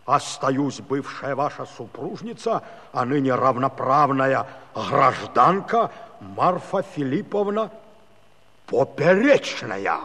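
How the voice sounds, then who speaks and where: An actor playing a gruff, sardonic Cossack husband in a comic radio play, scoffing and grunting between phrases. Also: male voice, mid-range voice, expressive, takes it slowly, bright, forceful tone, heavily acted. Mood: mocking reading aloud. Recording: reverberant hall-like studio recording.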